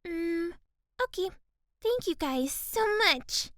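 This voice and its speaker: A woman's voice: very high-pitched, animated, slow pace, exaggerated voice. A woman voicing a timid, anxious, sweet teenage girl in an anime-style audio drama, with a hesitant, childlike manner. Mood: shy, gentle. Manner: hesitant, soft-hearted, childlike.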